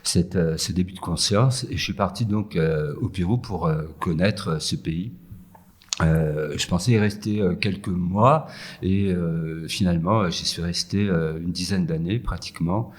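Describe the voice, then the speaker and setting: Very deep, husky man, hesitant. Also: normal speed. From France. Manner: hesitant, full of "euh" and restarts. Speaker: guest, food entrepreneur. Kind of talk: casual interview answers. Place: empty yoga room with echo.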